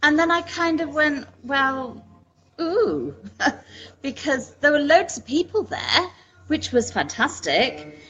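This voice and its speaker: A woman who coaches speakers, talking as a guest on a video call in a lively, expressive way. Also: high voice, slow pace, lo-fi sound. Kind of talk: casual video call interview.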